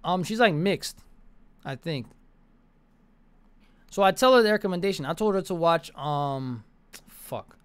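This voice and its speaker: A man's voice: fairly high pitch, expressive, measured pace, long pauses, smooth. A young American man telling a casual story to his stream chat, slangy and profane, repeating phrases for emphasis, close to a desk mic. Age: young adult. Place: bedroom or basement room, indoors. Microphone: desk microphone close to the mouth, with headset.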